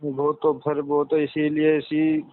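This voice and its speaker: A man's voice: fairly high pitch, completely flat delivery, slow pace, no room sound. A man, a hesitant and deferential caller seeking advice in casual phone conversation. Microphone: telephone. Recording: dry, tinny telephone line.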